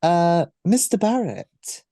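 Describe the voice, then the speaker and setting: A man's voice: high-pitched, very animated, slow, mellow, clean studio sound. A man hosting a casual podcast, playful and a little theatrical, echoing his guests' words. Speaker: podcast host.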